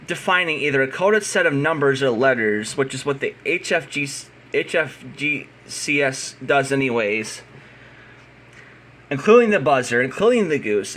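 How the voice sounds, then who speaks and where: A man's voice: mid-range voice, fairly bright tone. An American man giving an unscripted, opinionated explainer in a blunt, combative, emphatic manner. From United States. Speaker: radio signal hobbyist.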